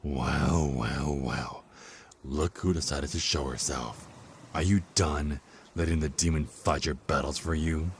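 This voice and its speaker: An actor playing a cruel, contemptuous Archangel of Death in an audio drama, with a mocking, theatrical delivery. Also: male voice, very deep voice, steady tone, unhurried, slight background noise. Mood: sneering contempt. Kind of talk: dramatic villain monologue in audio roleplay.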